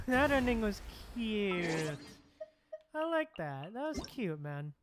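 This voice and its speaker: A woman's voice: mid-range voice, sing-song, takes it slowly, background noise. A woman streamer reacting casually to a game, laid-back and often repeating her phrases.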